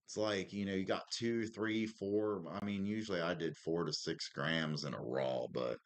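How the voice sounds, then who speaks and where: A man with a very low, smoker's voice co-hosts a casual chat. He talks in a laid-back, slangy way, dropping "man" and "dude" all through. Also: fairly even intonation, normal speed. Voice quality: deep smoker's voice. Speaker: podcast co-host.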